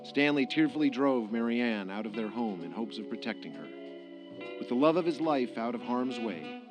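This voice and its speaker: Man, mid-pitched, scripted radio play narration, prominent music bed. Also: lively intonation, moderate pace, low-quality recording.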